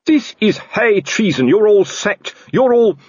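A man voicing a pompous, blustering middle-aged male watch captain who shouts and splutters indignantly. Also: mid-range voice, lively intonation, normal speed, breathy edge, no echo, dull audio, voice pushed up.

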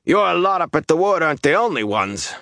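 A man voicing a big, resentful village braggart with a loud, rough voice in a fantasy audiobook. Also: a little high, sing-song, a little fast, no room sound, clean studio sound, pitched above the performer's own voice, heavily acted. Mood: resentful boasting.

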